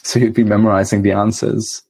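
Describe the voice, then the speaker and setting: A young man chats as a guest on a podcast, his voice lowish and mellow with a slightly husky edge. He talks in a measured, reflective way and slips in soft laughs. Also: level tone. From India. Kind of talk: casual chat.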